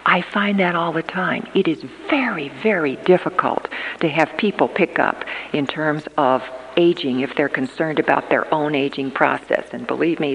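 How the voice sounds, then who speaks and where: A woman in her fifties giving an earnest talk at a church gathering, emphatic and often pausing with hesitations. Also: low-pitched, gravelly, slight background noise. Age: 50s. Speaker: church conference speaker. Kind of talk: prepared talk on aging and ministry. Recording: long reverb of a large hall.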